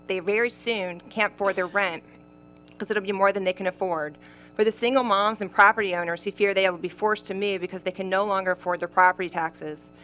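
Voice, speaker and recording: A woman with a Southern Tennessee accent gives a prepared campaign statement at a county forum. Her voice is bright and forceful, and she speaks in an earnest, measured way. A faint hum sits under the recording. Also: medium pitch, low-quality recording. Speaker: county commission candidate. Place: county government meeting room.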